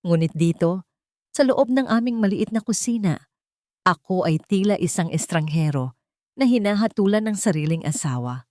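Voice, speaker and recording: A woman with a Filipino accent reading a dramatic first-person story in a measured, solemn and emotive way. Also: medium pitch, animated, darkish tone, no room sound, clean studio sound. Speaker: audiobook narrator.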